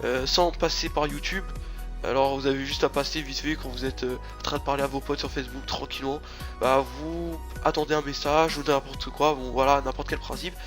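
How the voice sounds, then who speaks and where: A man's voice: mid-range voice, steady tone, fairly quick, a bit bright, faint music behind. A young man with a stuffy, nasal voice from a cold, rambling casually with lots of fillers and restarts as he introduces his gaming channel.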